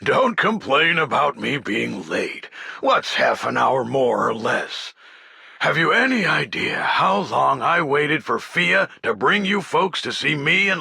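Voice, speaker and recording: Cocky complainy game dude, super husky, piercing edge, bouncy intonation. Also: male voice, mid-range voice, pushed and bright, no echo, studio quality, exaggerated voice. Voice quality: raspy, gravelly. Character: brash vain laid-back male operator, fussy about hair. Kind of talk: game character voice line.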